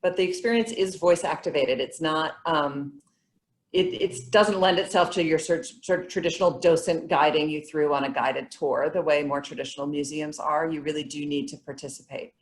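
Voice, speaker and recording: Woman, low voice, museum representative, enthusiastic explainer. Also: moderate pace. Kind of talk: panel discussion answers.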